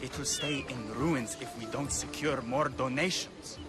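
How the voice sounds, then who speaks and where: A man's voice: medium pitch, unhurried, over music, natural voice. An actor playing a respectful townsman concerned with a temple in a video game cutscene. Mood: measured.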